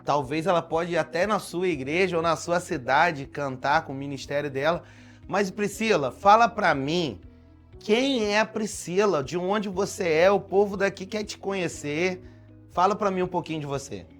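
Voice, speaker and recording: A man's voice: high voice, moderate pace, a bit bright, soft background music. A man with a Brazilian Portuguese accent hosting a faith interview show, upbeat and teasing in a casual chat. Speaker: talk show host.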